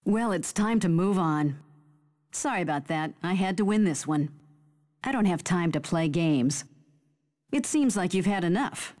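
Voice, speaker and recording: A woman's voice: fairly low pitch, animated, measured pace, darkish tone, big-room sound. An actress playing a fierce female fighter who taunts opponents in haughty, theatrical battle lines for a video game. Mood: confident, challenging. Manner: haughty, theatrical, clipped declarations.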